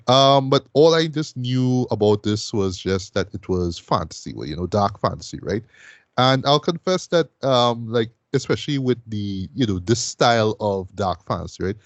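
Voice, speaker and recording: A man's voice: on the low side, no room sound. Rambling man, dry close mic. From Trinidad. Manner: rambling, many fillers and "right?" checks. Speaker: podcast host reviewing a series. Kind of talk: casual spoken review.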